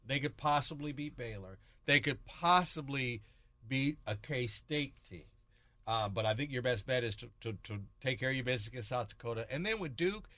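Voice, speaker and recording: A man in his sixties co-hosting a sports podcast, chatting casually in an animated, folksy way with slang. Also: medium pitch, no room sound. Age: 60s.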